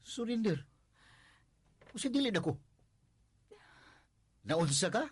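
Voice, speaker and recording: Plaintive high-voiced husband, husky. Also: male voice, sing-song, slow pace, dry recording, full character voice. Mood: pleading, exasperated. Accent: Filipino, Visayan. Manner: plaintive, sighing, pleading. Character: worried, long-suffering, devoted husband narrating his story. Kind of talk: Visayan radio drama acting.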